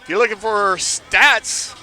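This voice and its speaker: A man calls a basketball game live from inside an arena with a high, ringing, very bright voice. He keeps up a chatty stream of play-by-play, cracks jokes about himself, and pushes up to near shouts when a shot drops. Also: slow, soft background music. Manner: lifts to near shouts on big plays. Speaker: play-by-play commentator.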